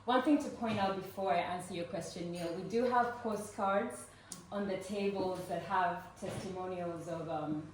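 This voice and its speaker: A woman in her forties from Uganda speaks earnestly to a gathered audience, pausing often on "um". Her voice sits fairly low and reaches the mic from a distance. The room throws back a strong echo, with faint noise underneath. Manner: earnest and warm, with frequent "um" fillers. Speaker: speaker at a fundraising event. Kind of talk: personal story told to a live audience. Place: event room with a live audience.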